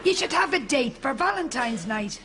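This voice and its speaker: A woman's voice: sits high, very animated, normal speed, a bit bright. A woman playing an exasperated, practical grown-up Irish daughter in a sitcom, earnest and insistent. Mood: encouraging. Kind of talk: live sitcom acting. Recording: noisy TV studio sound.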